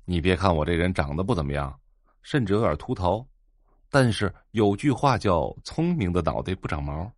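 An actor playing an eager, fawning young computer shop owner in an audio drama, with an excitable, ingratiating manner. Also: male voice, sits low, moderate pace, no room sound, clean studio sound. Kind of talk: Chinese audio drama acting.

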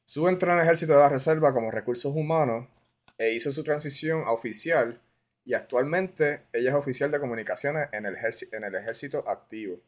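A man's voice: medium pitch, brisk. A man with a Puerto Rican accent hosting a casual podcast interview, informal and dropping English words into his Spanish. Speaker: podcast host.

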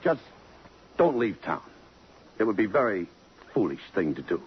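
A man's voice: fairly low pitch, big pitch swings, moderate pace. A man with an American accent playing a tough, blunt police lieutenant in a 1950s radio drama, questioning in a clipped, hard-boiled way. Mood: stern, probing. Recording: dull, noisy old radio recording.

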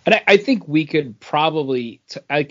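A man's voice: fairly high pitch, animated, moderate pace, highs cut off. A man in casual discussion as a podcast co-host, explaining and thinking aloud. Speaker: comic book podcast co-host. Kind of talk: casual book discussion chat.

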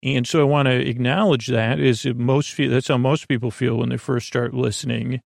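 A man with a creaky voice hosting a bedtime podcast in a meandering, halting monologue that keeps interrupting and repeating itself. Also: mid-range voice, fairly even intonation, dry recording, clean studio sound. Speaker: podcast host.

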